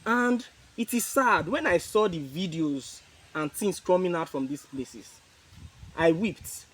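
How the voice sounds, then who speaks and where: Fairly high-voiced, earnest Nigerian man. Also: takes it slowly, poor audio. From Niger Delta, Nigeria. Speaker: youth group chairman. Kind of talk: press statement, public appeal.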